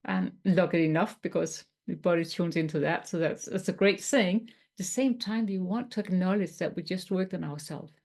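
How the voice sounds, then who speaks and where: A woman from Denmark with a Danish accent, teaching tapping in a webinar in a gentle, explanatory way with some non-native phrasing. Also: lowish voice, moderate pace, few pauses. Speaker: tapping coach.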